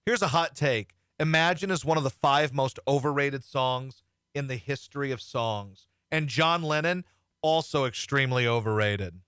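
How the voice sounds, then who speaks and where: Bright-voiced, blunt male talk host. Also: mid-range voice, moderate pace, clear, resonant tone, no room sound, studio quality. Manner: blunt, profane, rambling. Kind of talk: opinionated show banter.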